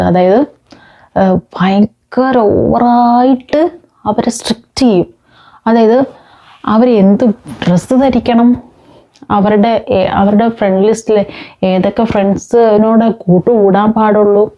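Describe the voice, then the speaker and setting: A woman's voice: a little high, highs cut off. A woman talking informally to viewers, explaining with examples and mixing in English words. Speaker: host of a parenting talk.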